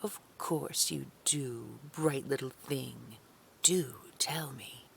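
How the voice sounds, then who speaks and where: Woman as a low, creaky, menacing lady boss. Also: fairly bright tone, a little hiss or hum, exaggerated voice. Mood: probing. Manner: patronising endearments, slow controlled menace, often breathy near-whisper. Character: haughty, menacing female boss, sugary and condescending. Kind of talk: scripted audio drama.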